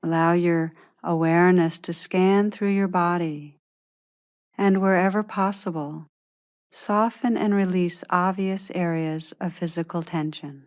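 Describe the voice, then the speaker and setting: A woman guiding a meditation in a gentle, unhurried, soothing manner, giving calm instructions with long pauses. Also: on the low side. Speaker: meditation teacher guiding a practice. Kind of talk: guided meditation, scripted instruction.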